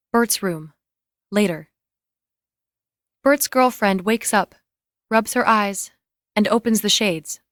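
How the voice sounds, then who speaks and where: A woman's voice: mid-range voice, fairly bright tone, dry recording, clean studio sound. A woman narrating scripted screenplay scene directions in a matter-of-fact way.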